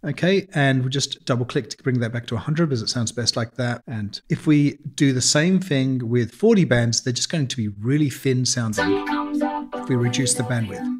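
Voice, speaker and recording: A man narrates an informal software tutorial in a medium-pitched voice. He explains each step in a plain-spoken way, as an instructor would. Some music sits behind the voice. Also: normal speed.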